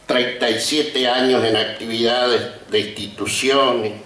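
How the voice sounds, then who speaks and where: Older man, Argentine accent, slow halting speech, moved, reminiscing, roomy distant microphone sound. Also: mid-range voice, fairly even intonation, sharp tone. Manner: halting, reminiscing, with deliberate pauses. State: emotional, trembling. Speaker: retired teacher and honoree giving a speech. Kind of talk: ceremonial acceptance speech. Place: outdoor colonial courtyard before a seated audience. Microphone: podium microphones on stands.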